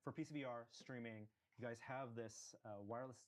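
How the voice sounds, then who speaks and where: A man's voice: medium pitch, fairly even intonation, fairly quick. A man interviewing a product developer, firing off probing follow-up questions about the technology. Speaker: tech interviewer. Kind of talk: tech interview.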